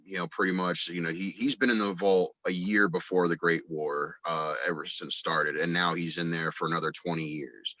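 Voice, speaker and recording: Deep-voiced man, dull dry recording. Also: sharp tone, dry recording. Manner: halting, rambling, frequent verbal hedging. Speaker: guest, audio drama actor and writer. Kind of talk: casual interview chat.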